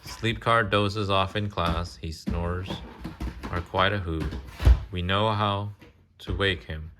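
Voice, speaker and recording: A man with a low voice reads a picture book to a child at an unhurried pace. He is casual and interactive, often stopping for chatty asides and questions. There is clear noise in the homemade recording. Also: fairly even intonation. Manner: interactive, pausing to ask and explain.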